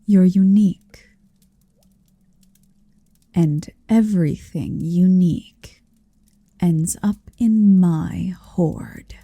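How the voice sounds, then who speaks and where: A woman's voice: lowish voice, drawn-out words, pauses often, mellow, breathy edge, no echo, exaggerated voice. A woman playing a huge, possessive, motherly female dragon in an ASMR roleplay, tenderly domineering and teasing, often whispering close to the mic. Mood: admiring, possessive. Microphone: close-up microphone, dry.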